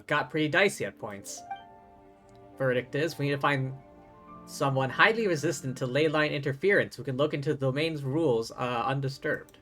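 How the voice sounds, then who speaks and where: A man's voice: mid-range voice, fairly bright tone. A man livestreaming a game, reading dialogue aloud and adding playful, wry, casual asides. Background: game music.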